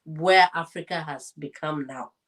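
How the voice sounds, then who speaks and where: A woman from Congo with a somewhat low voice, speaking English as a Swahili speaker. She talks as an interview guest, and her tone is earnest and firm. She explains her views in a steady, reflective way. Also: unhurried. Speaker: interview guest, politician and former translator. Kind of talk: conversational interview.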